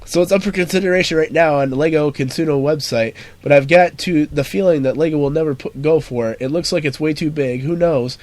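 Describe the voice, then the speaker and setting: A hoarse man with a cold, co-hosting a casual podcast and reading odd news stories aloud with frequent laughter. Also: fairly high pitch, a little fast, hardly any pauses, darkish tone. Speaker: podcast co-host.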